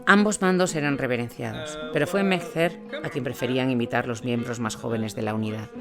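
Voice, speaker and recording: A man's voice: medium pitch, a little fast. A man delivers scripted dramatic narration of a war story. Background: instrumental music.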